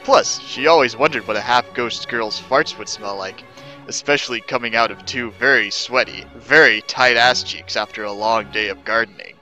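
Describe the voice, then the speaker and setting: A man's voice: medium pitch, moderate pace, sharp tone, faint music behind, low-quality recording. A man giving a mock-serious dramatic reading of comic fan fiction as its narrator.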